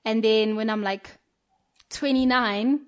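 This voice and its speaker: Young woman, podcast co-host, chatty, laughs a lot, somewhat high voice. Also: takes it slowly. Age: young adult. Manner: chatty, laughs often, many fillers. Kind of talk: casual chat.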